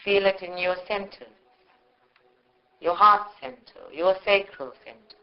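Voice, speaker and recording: A woman guides a meditation at a very slow pace. She speaks in short, measured phrases and leaves long pauses between them. The live recording sounds dull and lo-fi. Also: medium pitch. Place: live group meditation session.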